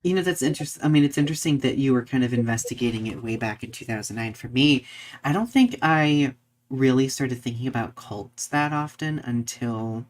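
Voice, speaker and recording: A man's voice: mid-range voice, lively intonation, brisk. Male podcast co-host, conversational and explanatory. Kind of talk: casual live podcast discussion.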